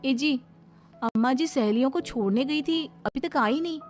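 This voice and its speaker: A woman's voice: high-pitched, normal speed, a little mellow, soft background music, natural voice. A woman voicing a sweet, simple young bride in a cartoon story, respectful and caring. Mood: worried. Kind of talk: cartoon moral-story dialogue.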